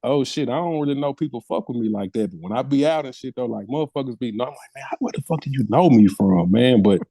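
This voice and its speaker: A man's voice: mid-range voice, lively intonation, quick pace, warm tone, breathy edge, no echo. A man talking casually in slangy, profane language with a heartfelt manner. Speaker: podcast guest. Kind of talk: casual chat.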